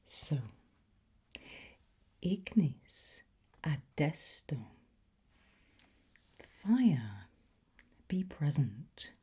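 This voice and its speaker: A woman speaks in a soft, dark, very breathy whisper, at an extremely slow pace. She plays a patient ASMR teacher, gently encouraging the listener. The delivery is unhurried and close to unvoiced throughout. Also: deep voice, very animated. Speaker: ASMR host playing a language teacher.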